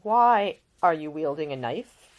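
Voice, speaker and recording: A woman voicing a seasick gentleman in a storybook, weary, gentle and affectionate. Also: deep voice, lively intonation, measured pace, lo-fi sound. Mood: suspicious.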